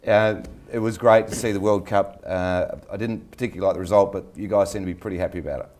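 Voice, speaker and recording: A man's voice: deep voice, steady tone, fast, darkish tone. An older man hosting an interview show, telling a chatty personal story before he introduces his guest. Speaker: interview host.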